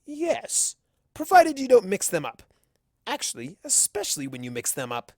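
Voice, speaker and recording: A gleefully unhinged chaos creature, acted by a man, whose theatrical voice swoops widely up and down. He is mischievous and deliberate, a storybook trickster recorded close and dry. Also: sits high, huge pitch swings, darkish tone, dry recording, voice pushed up, full character voice. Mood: playful relish.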